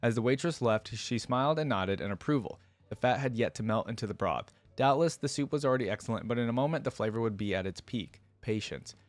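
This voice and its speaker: A man's voice: mid-range voice, expressive, fast, hardly any pauses, no room sound. A man hosting a reaction video, informal in manner, moving between reading a story aloud and casual commentary.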